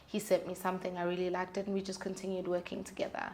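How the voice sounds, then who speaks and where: A woman's voice: on the low side, completely flat delivery, fast, darkish tone, slight background noise. A young woman speaking candidly and expressively in casual, slangy conversation as an interview guest. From South Africa. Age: young adult. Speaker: guest, singer-songwriter.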